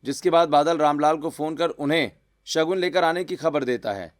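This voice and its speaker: A man narrating a scripted family story for an animated video. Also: fairly high pitch, moderate pace, no echo, clean studio sound.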